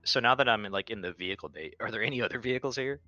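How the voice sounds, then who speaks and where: A man's voice: fairly low pitch, rapid-fire, bright, forceful tone, highs cut off. A man playing a tabletop roleplaying game in casual chat, blunt and bossy, swearing freely. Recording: music under the voice.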